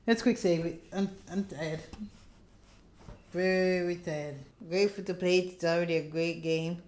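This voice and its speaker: A woman's voice: lowish voice, steady tone, measured pace, a little mellow. A woman streamer reading a visual novel aloud, with dramatic narration broken by casual asides.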